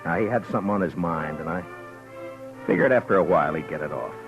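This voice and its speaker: An actor playing a calm, reflective frontier marshal who narrates in a slow, laconic, measured way, in a 1950s radio drama. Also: male voice, deep voice, lightly acted. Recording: dull old radio recording with music under narration.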